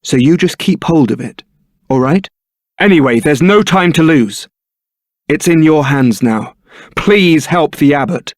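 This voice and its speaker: An actor playing a young templar knight in a video game, suave, wry and brooding, with a theatrical, articulate delivery. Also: male voice, a little high, animated, unhurried, no room sound, studio quality. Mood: earnest, hushed urgency. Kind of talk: video game voice acting, dramatic scripted dialogue.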